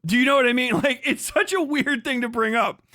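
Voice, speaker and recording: Bright-voiced man ranting on a livestream. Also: extremely high pitch, a little fast, no room sound, studio quality. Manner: profane, mocking, laughing often. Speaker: livestream host. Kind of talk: livestream commentary rant.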